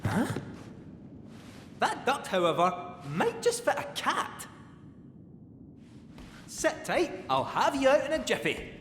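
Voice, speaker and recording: An actor voicing a small, plucky, cheerful talking cat with a Scottish accent and a jaunty manner in a video game. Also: male voice, very high-pitched, huge pitch swings, slow, long pauses, fairly bright tone, slight background noise, heavily acted. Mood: excited, plucky. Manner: jaunty, exclamatory, reassuring. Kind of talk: video game character acting. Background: music.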